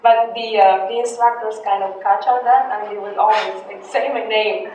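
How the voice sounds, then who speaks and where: A woman's voice: medium pitch, steady tone, moderate pace, a little hiss or hum. A woman in her thirties from the Philippines, whose first language is Filipino, telling a personal story in a rambling way with many fillers, restarts and laughs. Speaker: military member giving a workshop talk. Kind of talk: personal anecdote speech. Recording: distant, dull, echoey room recording.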